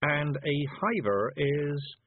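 Man voicing a firm, wry witch, raised pitch. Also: animated, slow pace, husky, dry recording, narrow, tinny audio, exaggerated voice. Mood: curious.

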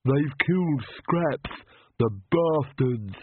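A man voicing a gruff male castle servant who speaks slowly and tearfully. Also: a little high, slight background noise, voice pushed up. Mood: grieving. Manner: slow, sobbing, gruff. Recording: tinny phone-like dry sound.

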